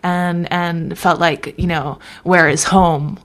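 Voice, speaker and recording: A woman speaking as a guest in a casual conversation, thoughtful and halting, often restarting her sentences. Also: fairly low pitch, steady tone.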